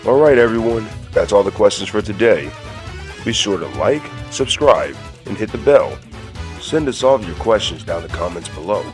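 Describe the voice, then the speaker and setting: Guy doing a chill proud gorilla, low voice that swings around, music behind. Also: expressive, moderate pace, natural voice. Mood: easygoing. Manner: easygoing, matter-of-fact. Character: laid-back, proud adult male cartoon gorilla. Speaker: co-host of a fan question show. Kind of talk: fan audio drama voice acting.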